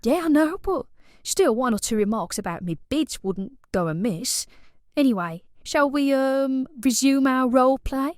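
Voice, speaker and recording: A woman's voice: high voice, expressive, a little slow, darkish tone, dry recording, clean studio sound. An actress playing a bored, randy, blunt British suburban woman in an audio play, talking in a colloquial, cajoling way. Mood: chatty, mildly critical.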